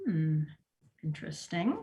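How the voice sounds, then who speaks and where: An actress playing an awkward, earnest store manager in a sitcom table read, mild and a little hesitant. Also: female voice, deep voice, very animated, a little slow, background noise, full character voice. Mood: earnest, probing.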